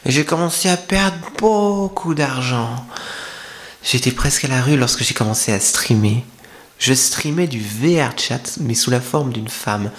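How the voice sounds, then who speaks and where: A man's voice: mid-range voice, unhurried, fairly bright tone, lightly acted. A man voicing a coy young femboy streamer in an intimate, teasing ASMR roleplay, talking confidingly to the listener. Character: coy young male femboy streamer serving in a maid café.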